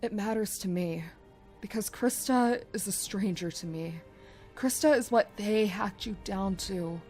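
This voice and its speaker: An actress plays a fiercely protective young woman in a survival audio drama. She speaks slowly at a medium pitch, blunt yet tender and intense, with faint music behind her. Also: darkish tone, close to an everyday voice. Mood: pleading, intense. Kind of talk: amateur audio drama acting.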